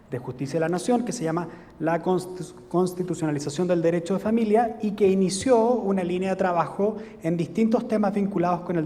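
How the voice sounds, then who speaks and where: A man with a Chilean accent giving an academic lecture as a guest speaker, hesitant and pausing often with filler sounds. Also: a little high, mellow, slight background noise. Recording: reverberant hall.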